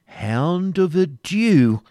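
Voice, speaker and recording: Guy putting on a pompous stammering prior, indignant, pitch jumps all over, for a storybook. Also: sing-song, extremely slow, dark tone, dry recording, studio quality, exaggerated voice. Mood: outraged. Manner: stammers when outraged. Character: pompous indignant churchman prior, blustering and scornful.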